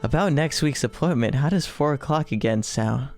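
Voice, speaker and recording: A man's voice: medium pitch, moderate pace, darkish tone, faint music behind. A man hosting a let's-play with casual, joking banter, swearing freely and often stammering. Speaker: host of gaming video.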